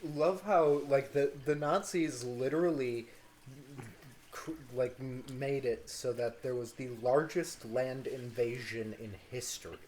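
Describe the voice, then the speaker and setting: A man's voice: medium pitch, unhurried, darkish tone, a little hiss or hum. A man co-hosting a casual podcast, joking and rambling through history talk at a table indoors. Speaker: podcast co-host. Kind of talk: casual banter.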